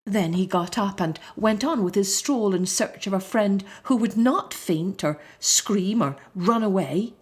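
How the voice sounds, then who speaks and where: A woman narrating a children's story from a script in an expressive, deliberate storyteller's manner. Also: mid-range voice. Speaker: storybook reader.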